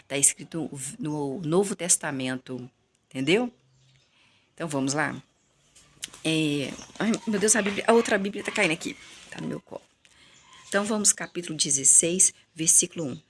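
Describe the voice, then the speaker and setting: A Brazilian woman teaching the Bible informally, speaking straight to viewers, repeating herself and answering her own questions. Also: sits low. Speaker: Bible teacher hosting a recorded reading.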